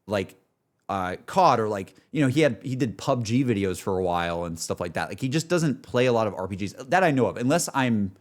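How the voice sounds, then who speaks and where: A man's voice: lowish voice, lively intonation, a little fast. A man livestreaming, chatting casually about games in an earnest, emphatic, rambling way that often circles back on itself. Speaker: game streamer. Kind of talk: casual livestream chat, emotional game reflection.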